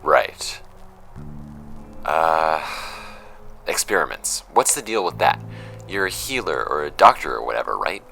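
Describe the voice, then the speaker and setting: A man's voice: a bass voice, big pitch swings, a little slow, vocal fry, long pauses, bright, forceful tone, soft background music, full character voice. A man playing a blunt, suspicious young investigator. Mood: skeptical. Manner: blunt, pointed questioning. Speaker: interviewer. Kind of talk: audio drama acting.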